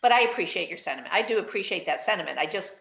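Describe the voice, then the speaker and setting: A woman with a lowish voice joins the committee meeting over a video call. Her audio sounds echoey and muffled. She talks conversationally, hedges her points and repeats words as she goes. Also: expressive, rapid-fire, vocal fry, fairly bright tone. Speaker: committee member. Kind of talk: public committee meeting discussion.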